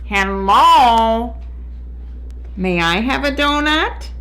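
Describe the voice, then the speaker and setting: A woman's voice: on the high side, expressive, extremely slow, sharp tone, heavily acted. A woman reading a storybook, voicing an eager male lemur with very polite, emphatic, pleading courtesy.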